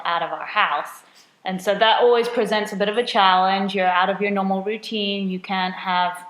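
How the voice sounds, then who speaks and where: A woman co-hosting a casual podcast chat as a nutrition coach, chatty and self-deprecating, often laughing at herself. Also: mid-range voice. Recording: roomy echo.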